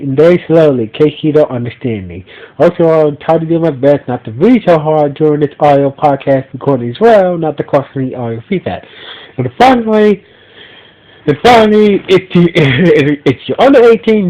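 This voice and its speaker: A man with a stuffy nose hosting his own audio podcast, recapping an episode in a halting, unscripted way, full of restarts and "so basically". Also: on the high side, dark tone, highs cut off. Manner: halting, many restarts and fillers, keeps saying "so basically". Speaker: podcast host reviewing a TV episode.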